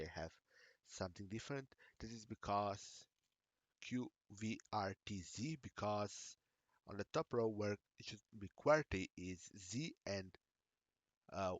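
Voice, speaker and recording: A man with a Slovenian accent, his native tongue Slovenian, talking through a live screen-share tutorial in a halting, self-correcting way. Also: on the low side, no echo. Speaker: tech streamer.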